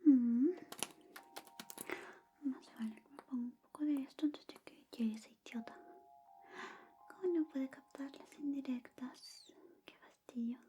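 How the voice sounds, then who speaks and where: A young woman playing a sly, clingy female best friend secretly in love, in a soft, whispery, coy ASMR roleplay. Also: high voice, a little slow, long pauses, slight background noise. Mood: muttered annoyance. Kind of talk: Spanish ASMR roleplay, personal attention.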